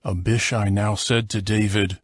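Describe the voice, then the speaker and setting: A man narrating a dramatized scripture reading in a measured, formal, scripted style. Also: on the low side, flat delivery, husky, no room sound, clean studio sound, soft background music.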